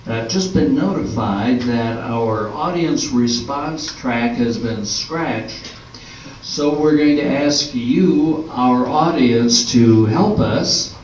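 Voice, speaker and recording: An older male presenter reads a scripted introduction at a slow pace. His voice is on the higher side and reaches a distant mic through heavy room echo, with a dull, slightly noisy sound. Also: a little mellow. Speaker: announcer and presenter of an amateur radio play. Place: indoor hall at a live performance. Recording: roomy echoing hall, distant mic, dull, faint noise.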